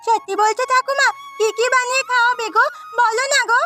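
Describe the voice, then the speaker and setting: Cartoon kid sister, voiced by a woman: piercingly high, childlike, eager village girl, bright tone. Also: fairly even intonation, fast, faint music behind. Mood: delighted, eager. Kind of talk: Bengali cartoon story voice acting.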